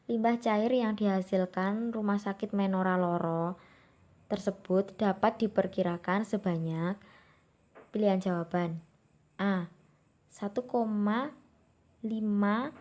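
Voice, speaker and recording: A woman's voice: medium pitch, darkish tone. Friendly Javanese woman tutoring exam questions. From Java. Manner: friendly, informal, addresses viewers as friends. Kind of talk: explaining exam questions to viewers.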